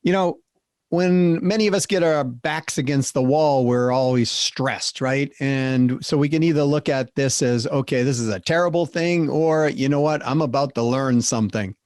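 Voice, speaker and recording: A man's voice: medium pitch, moderate pace, a little mellow, no room sound, studio quality. A middle-aged man with a Boston accent hosting a business podcast, upbeat and emphatic, speeding up in bursts. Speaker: podcast host. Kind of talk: interview hosting and wrap-up.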